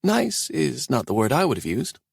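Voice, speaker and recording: A man's voice: mid-range voice, wildly swooping pitch, moderate pace, gravelly, slightly rough, no echo, pitched above the performer's own voice, heavily acted. A man voicing a wry, guarded young college woman who teases dryly. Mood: dry teasing. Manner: dry teasing.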